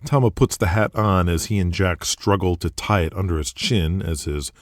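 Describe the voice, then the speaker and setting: A man's voice: deep voice, moderate pace, no room sound, studio quality. A man narrating a scripted audio drama.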